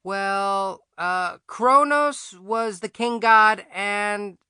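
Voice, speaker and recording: An earnest twelve-year-old schoolboy acted out by a man, raised in pitch and bright in tone. He speaks haltingly and questioningly, as if flustered in class, in a children's audiobook. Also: smooth, no room sound, clean studio sound, heavily acted. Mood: hesitant, eager.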